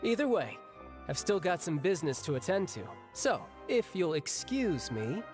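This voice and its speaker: A man's voice: sits high, big pitch swings, normal speed, music in the background. A man playing a cocky, flippant young mercenary in a video game, sardonic and theatrical in manner. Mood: smug amusement.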